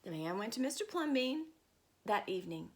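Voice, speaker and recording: A woman reading a picture book aloud to children, expressive and stopping to ask her listeners questions. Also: mid-range voice, normal speed, slight background noise. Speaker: storyteller.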